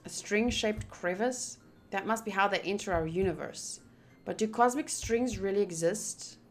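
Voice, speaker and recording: A woman's voice: mid-range voice, normal speed, faint music behind. A woman hosting a visual novel playthrough, reading the text aloud expressively and breaking off into wry, informal asides. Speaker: gaming video host.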